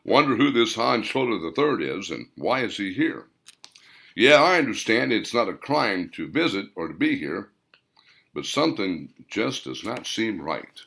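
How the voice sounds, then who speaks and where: A man playing a stern state police captain who speaks low, grave and guarded. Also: very animated, measured pace, vocal fry, sharp tone, full character voice.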